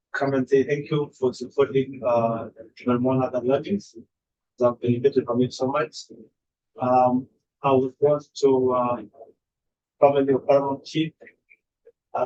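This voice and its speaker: A man from South Sudan, testifying slowly to a legislative committee with many pauses and fillers. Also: mid-range voice, darkish tone. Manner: slow, halting, many uh fillers. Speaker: adult education student testifying. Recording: dull lo-fi room audio with faint noise.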